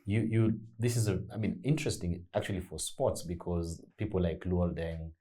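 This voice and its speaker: Deep, husky male moderator. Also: steady tone, moderate pace, dark tone. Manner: affirming, many short backchannels. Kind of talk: panel moderation.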